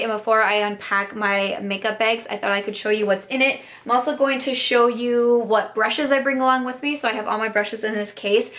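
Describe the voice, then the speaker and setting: A young woman with a hoarse, raspy voice, talking in a bubbly, casual way as a beauty vlogger. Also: on the high side, fairly bright tone. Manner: bubbly, upbeat, lots of "like". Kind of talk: casual chatty vlog.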